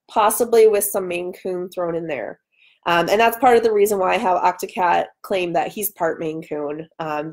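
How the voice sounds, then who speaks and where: A woman in her thirties chatting casually and playfully as she hosts a livestream from home on a webcam. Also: mid-range voice. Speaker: author hosting a livestream. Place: small room at home, indoors. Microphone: webcam at arm's length.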